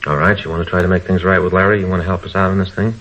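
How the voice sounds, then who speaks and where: Low-voiced, patient police detective. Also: male voice, little pitch movement, very fast, fairly bright tone. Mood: coaxing. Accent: American. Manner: brief, probing questions. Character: patient, coaxing male police detective, the sergeant's partner. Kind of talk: 1950s radio police drama acting. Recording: noisy, lo-fi vintage radio recording.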